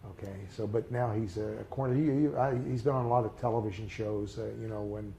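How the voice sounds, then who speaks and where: An older man talks through his memories in a mellow, rather low voice, stopping and restarting often and filling gaps with "uh" and "you know". There is a faint hiss of background noise under his voice. His tone is reflective and unhurried. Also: steady tone. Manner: halting, many fillers and restarts, reflective. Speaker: interviewee. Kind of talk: recalled personal memories in a casual interview.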